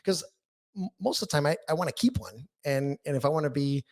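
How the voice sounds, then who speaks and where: A man's voice: fairly high pitch, a little fast. A man speaking as a podcast guest, explaining things candidly and emphatically in casual interview talk. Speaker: podcast guest, newsletter writer.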